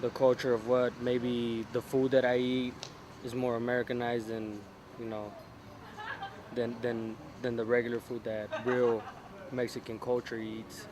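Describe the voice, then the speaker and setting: A man's voice: mid-range voice, little pitch movement, measured pace, a crowd in the background. A man from South Central Los Angeles, answering interview questions casually and hesitantly, often restarting his sentences.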